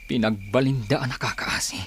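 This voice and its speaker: A man's voice: mid-range voice, fairly quick, a bit bright, slight background noise, lightly acted. A man with an Ilocano accent playing a brooding, vengeful rebel in an audio drama. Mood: bitter. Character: brooding, vengeful male rebel grieving his family.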